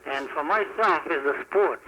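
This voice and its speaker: A man calling in to a radio show, speaking politely and haltingly. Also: fairly high pitch, lively intonation, bright, forceful tone, a little hiss or hum, rough recording. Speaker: phone-in caller. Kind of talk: halting, polite listener call. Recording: 1970s radio call-in.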